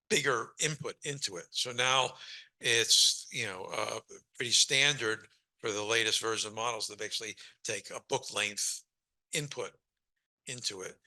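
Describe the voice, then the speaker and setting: A man's voice: mid-range voice, sharp tone, rough recording. A man speaking on a panel in a loose, casual way, with many fillers. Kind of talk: panel discussion.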